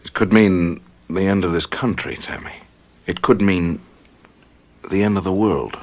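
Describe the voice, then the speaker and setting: An American actor playing a tense government man and husband in a radio drama. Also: measured pace. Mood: grave, resigned. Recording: noisy vintage radio drama, vehicle rumble.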